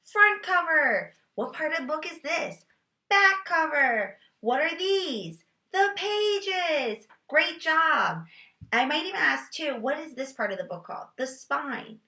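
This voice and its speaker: Female teacher, fairly high-pitched and bright, giving parents reading tips with expressive child-directed delivery, recorded in an echoey room. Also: takes it slowly. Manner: expressive, sing-song storybook reading and child-directed questioning. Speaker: teacher and host demonstrating read-aloud. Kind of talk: read-aloud lesson with reading tips for parents. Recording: roomy echo.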